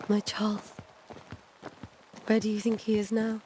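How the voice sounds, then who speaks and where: An actress playing a fearful, grieving puritan widow in a game, with a fragile, pleading delivery. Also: female voice, mid-range voice, completely flat delivery, unhurried, mellow, slight background noise, close to an everyday voice, low-quality recording. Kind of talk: dramatic game cutscene acting. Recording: music behind the dialogue.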